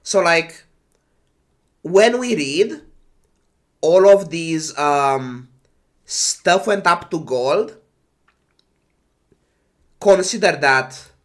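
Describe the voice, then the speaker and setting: A man who is sick and congested, sighing and talking slowly while casually reading game patch notes on a stream. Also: a little high, a bit bright. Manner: slow, sighing, trailing off. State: congested with flu.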